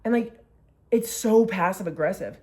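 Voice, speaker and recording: A woman's voice: mid-range voice, normal speed, mellow. A young woman vlogger telling a personal story in a candid, emotional way, full of filler words. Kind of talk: casual storytelling vlog.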